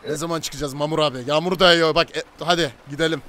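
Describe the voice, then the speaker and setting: A man roleplaying in a video game, giving short repeated orders in bossy, excitable banter. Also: on the high side, rapid-fire. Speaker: gamer playing a robber and gang leader. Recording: game audio with loud vehicle noise.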